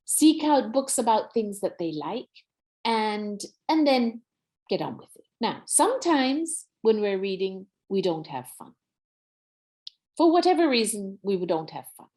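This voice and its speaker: A woman presenter with a Nepali accent speaks in a somewhat high, lively voice that moves up and down a lot. She explains things in an instructive, encouraging way, stressing key points as in a parenting workshop. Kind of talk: educational talk and Q&A on parenting.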